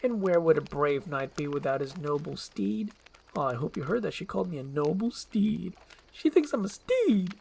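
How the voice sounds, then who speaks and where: Woman doing a chatty, excitable donkey sidekick. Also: low-pitched, moderate pace, creaky, husky, full character voice. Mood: delighted. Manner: talkative, informal, eager.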